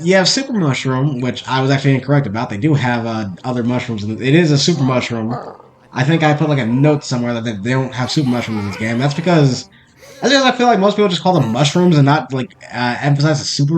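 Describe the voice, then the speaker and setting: A man's voice: medium pitch, animated, quick pace. A young man with a Boston accent, giving casual, rambling, self-correcting commentary as the host of a game playthrough. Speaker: let's play host.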